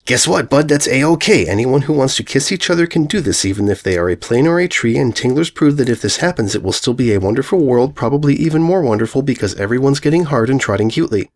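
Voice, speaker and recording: A man's voice: medium pitch, expressive, quick pace, hardly any pauses. Man acting an earnest, eccentric male author. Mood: upbeat, sincere. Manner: guileless, oddly phrased rambling. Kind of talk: fan fiction story reading.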